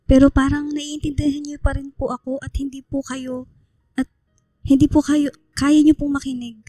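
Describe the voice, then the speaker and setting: Shy, grateful teen schoolgirl played by a woman, high-pitched, hesitant and respectful, close dry radio drama sound. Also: flat delivery, moderate pace, no echo, soft background music, lightly acted. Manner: hesitant, polite, softly apologetic.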